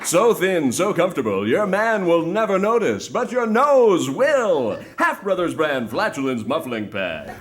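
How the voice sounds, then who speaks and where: A man playing a folksy, chipper old-time radio pitchman in a theatrical salesman's style, reading sponsor ads on a stage variety show. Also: big pitch swings, unhurried, few pauses. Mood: mock-earnest selling.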